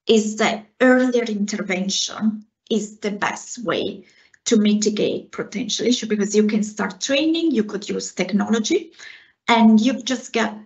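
A woman in her forties from Italy with an Italian accent, a researcher earnestly answering questions after her talk over a video call. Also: medium pitch. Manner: earnest, explains with hand gestures. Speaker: guest speaker, researcher. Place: home room, indoors. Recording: slightly dull video call audio.

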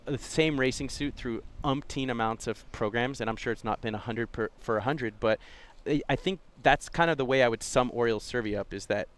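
A man in his thirties, a racing driver, chatting casually and telling animated stories with quick asides. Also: mid-range voice. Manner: animated storyteller, quick impressions and self-deprecating asides. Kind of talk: casual chat. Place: outdoors in sunny yard.

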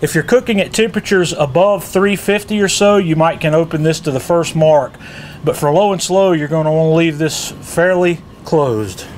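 A middle-aged man from the sound of a casual product tutorial, speaking. His voice sits a little high for a man. He explains each step in a folksy way, with some outdoor ambient noise behind him. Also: moderate pace. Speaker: product demonstrator. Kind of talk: casual instructional how-to narration.

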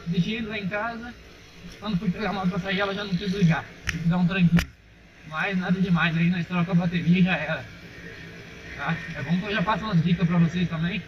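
Chatty Brazilian man riding a motorbike. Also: sits high. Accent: Brazilian Portuguese. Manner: chatty, addresses viewers as "rapaziada". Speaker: motovlogger. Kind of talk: casual motovlog while riding. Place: outdoors on a dirt road, riding a motorcycle. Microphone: helmet-mounted action camera. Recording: muffled helmet camera with engine and wind noise.

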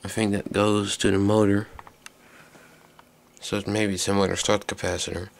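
A man giving a casual, unscripted hands-on demonstration in a low, creaky voice, recorded on a handheld camera microphone. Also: little pitch movement, normal speed. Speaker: hobbyist video maker. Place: small indoor workroom.

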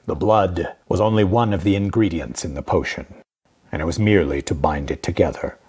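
A man plays a secretive, haughty guardian in an audio drama. The voice is deep and rough-edged, the delivery formal, dry and curt. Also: fairly quick, a little mellow. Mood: curt, defensive.

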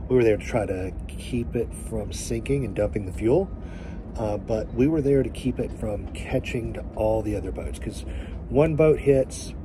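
A man in his forties telling a story straight to a phone camera outdoors, speaking earnestly and emphatically, pausing to find his words. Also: on the low side. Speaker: family vlogger. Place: outdoors by a boat in sunlight. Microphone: phone held at arm's length. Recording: outdoor phone audio with background noise.

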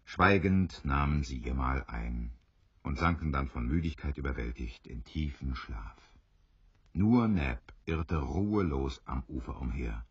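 A man narrating an adventure radio play as an unhurried, knowing storyteller. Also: a bass voice, animated, husky, no room sound, muffled recording, heavily acted. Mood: suspenseful.

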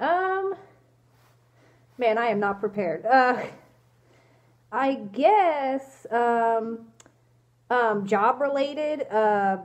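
Slow, hesitant, congested young woman. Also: on the high side, darkish tone. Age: young adult. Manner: hesitant, lots of ums, apologetic. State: congested from a cold. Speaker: fursuit vlogger answering questions. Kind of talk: casual Q&A vlog. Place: small indoor room, in costume. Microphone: phone camera at arm's length.

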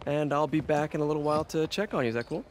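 A man's voice: fairly high pitch, very fast, slight background noise, lightly acted. An actor playing an earnest young male superhero in a video game, an American who talks in an informal, reassuring way. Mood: friendly. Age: young adult. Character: young male superhero scientist, earnest, encouraging, wisecracking mentor. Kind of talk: acted video game dialogue.

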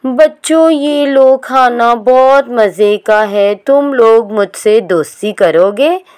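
A woman voicing a kind, motherly female sparrow in a cartoon story, speaking softly and coaxingly. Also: fairly high pitch, takes it slowly, smooth.

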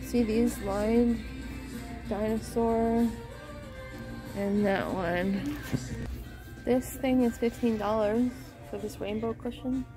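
A woman's voice: on the high side, fairly even intonation, slow pace, pauses often, over music, poor audio. A woman vlogging a casual shopping trip through a home goods store, pointing out items and reading prices aloud. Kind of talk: casual shop-with-me narration.

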